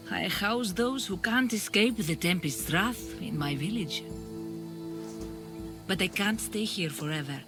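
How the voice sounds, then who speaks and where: Guarded village protector, earnest, dramatic storytelling, video game cutscene, music clearly behind. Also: female voice, on the low side. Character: guarded, earnest village woman sheltering refugees.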